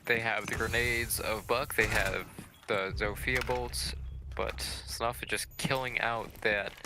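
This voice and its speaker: Deep-ish guy doing esports analysis, game gunfire and noise clearly behind him. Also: steady tone, unhurried. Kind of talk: analytical match commentary.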